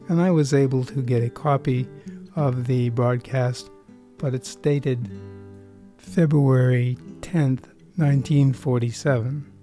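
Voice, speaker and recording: A man's voice: medium pitch, mellow. A man hosting an old-time radio program, giving an informal narrated introduction. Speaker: radio show host. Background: plucked guitar music.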